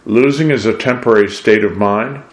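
An older American man from New England delivers a preachy monologue in a deliberate, emphatic way, posing rhetorical questions. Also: lowish voice. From New England, USA. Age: 60s or older. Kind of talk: preachy monologue with read-out quotations. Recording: roomy, echoing voice.

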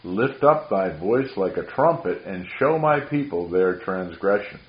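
A man's voice: low-pitched, expressive, a little slow. A man preaching a sermon in an earnest, rambling, preacherly way, with a voice he says carries far. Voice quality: carrying voice. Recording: dull roomy recording.

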